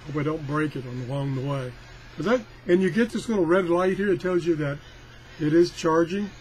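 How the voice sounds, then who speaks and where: Older man, slightly high pitch, casual hands-on demo, folksy self-deprecating grumbling, faint background noise. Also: fairly quick, a little mellow. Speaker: product reviewer. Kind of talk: casual hands-on product demonstration. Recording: clearly noisy amateur recording.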